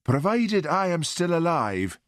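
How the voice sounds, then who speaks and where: A man voicing a miserable, flu-ridden Highland Scottish policeman in an audiobook, stiff, self-pitying and sibilant. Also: big pitch swings, takes it slowly, no room sound, clean studio sound.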